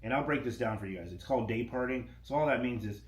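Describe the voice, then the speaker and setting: A man's voice: mid-range voice, quick pace. A middle-aged man, a guest on a podcast, talking casually and rambling with frequent restarts about running a radio station. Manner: relaxed, rambling, restarts often. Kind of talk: casual interview chat. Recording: echoey room with faint ambient noise.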